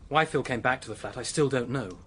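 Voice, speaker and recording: A man's voice: medium pitch, a little fast, breathy edge, close to an everyday voice. An actor in a radio drama playing a desperate, remorseful young man, speaking in an earnest, pleading way. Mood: confessing. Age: young adult. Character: desperate, remorseful young male clerk confessing to a killing.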